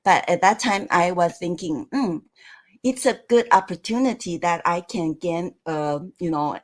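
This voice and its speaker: A woman guest in a casual online chat, speaking hesitantly with frequent small laughs. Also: lowish voice. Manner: slow, hesitant, laughs often. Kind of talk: casual live chat interview. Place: lived and worked in the United States.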